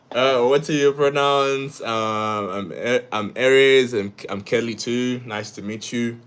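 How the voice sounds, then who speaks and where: Halting man hosting a live stream. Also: medium pitch, a bit bright, clear, resonant tone. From Uganda. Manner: halting, repeats words and restarts often; asks "yeah?" after each point. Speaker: livestream host. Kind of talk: live stream talk reading comments.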